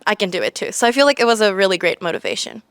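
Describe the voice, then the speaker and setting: A young Japanese-native woman answering interview questions in a chatty, lively way, peppered with "like.". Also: mid-range voice, racing, creaky, clean tone, dry recording, clean studio sound. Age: young adult. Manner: chatty, lively, uses "like" a lot. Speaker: senior teaching assistant.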